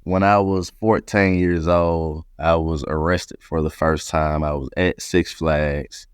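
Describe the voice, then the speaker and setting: A man's voice: extremely low pitch, fairly even intonation, slow pace, clear, resonant tone, no room sound, studio quality. A man from Atlanta, Georgia, speaking as an interview guest and reflectively telling his own story. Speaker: interview guest, company president.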